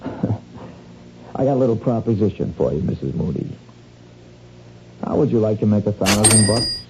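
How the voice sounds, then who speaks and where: A man's voice: fairly low pitch, sing-song, normal speed. A man playing a sly small-time blackmailer in an old radio drama, falsely polite, slangy, hesitant and insinuating. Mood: sly, pressing. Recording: muffled, noisy old radio recording.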